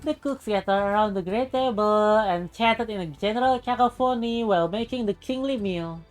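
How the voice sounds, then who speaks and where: A woman narrates a visual novel like a storybook. Her reading is deliberate and expressive, at a middle pitch, with game music playing behind her. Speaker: streamer.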